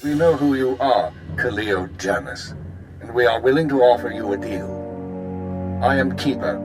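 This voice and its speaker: A calculating male spymaster acted by a man speaks at a medium pitch. His delivery is formal, measured and authoritative, as in a mission briefing. Quiet music sits behind this video game voice. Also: lively intonation, close to an everyday voice. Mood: diplomatic. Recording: music under the voice.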